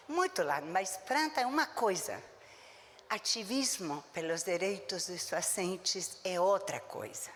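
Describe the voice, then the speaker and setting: A woman's voice: fairly low pitch, a bit bright. Woman doc giving a blunt, punchy lecture, big echoey conference hall. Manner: emphatic and blunt, with short punchy verdicts. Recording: long reverb of a lecture hall.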